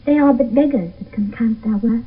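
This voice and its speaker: High-voiced, ardent young girl, muffled. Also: moderate pace, slightly rough. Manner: urgent, pleading, lyrical. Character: impatient, lovestruck teenage girl, eager and ardent. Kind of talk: 1970s radio Shakespeare acting. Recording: muffled phone-like vintage radio broadcast, distant.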